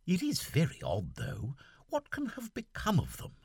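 A man voicing an excitable young army lieutenant who keeps exclaiming in exasperation, in an audiobook. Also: fairly high pitch, normal speed, husky, dry recording, studio quality, voice pushed up, full character voice. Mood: exasperated. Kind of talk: audiobook character voice.

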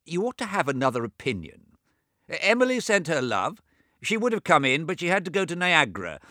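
Brief: a man playing a fussy, money-minded old man near eighty, high thin voice, quick prattle, dry sound. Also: sing-song, sharp tone, no room sound. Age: 80s.

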